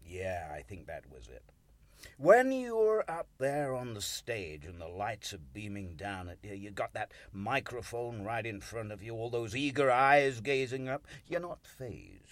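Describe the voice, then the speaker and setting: A man's voice: lowish voice, very animated, unhurried, dry recording. A man playing a folksy, self-effacing older churchgoer in a radio play, hesitant and rambling as he hunts for words. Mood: rambling, earnest.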